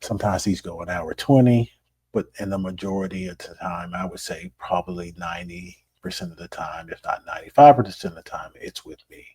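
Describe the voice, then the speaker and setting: Middle-aged man, raspy and hoarse, low voice, dry close sound; easygoing solo podcast host. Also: fairly quick, no room sound. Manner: relaxed, conversational, repeats words. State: hoarse after illness. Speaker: plastic surgeon podcast host.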